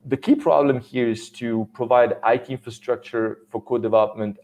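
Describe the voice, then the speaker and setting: A middle-aged Swiss man with a French accent, giving a technical conference talk in a halting way, with many fillers and restarts. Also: medium pitch, steady tone, darkish tone. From Switzerland. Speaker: conference speaker.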